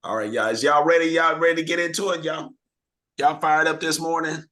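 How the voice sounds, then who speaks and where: A slightly hoarse man hosting a team video call, rallying the group with questions in an energetic, motivational way. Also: a little high, brisk. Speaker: team leader hosting a real estate mastermind call.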